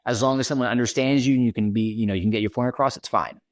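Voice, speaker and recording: A man's voice: medium pitch, steady tone, racing, no echo, studio quality. A man from Washington D.C. with an American accent, a podcast guest talking casually in an interview, loose and chatty, with lots of "you know" and "right". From Washington D.C., USA. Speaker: podcast guest, content creator.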